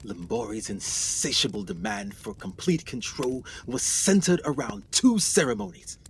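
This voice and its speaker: A man's voice: mid-range voice, very animated, normal speed, gravelly. Guy acting as a grave educated jungle explorer, radio drama, swoopy dramatic voice, faint noise behind. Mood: haunted, hushed. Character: haunted, educated explorer doctor, grave and anxious. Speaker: actor in a radio play.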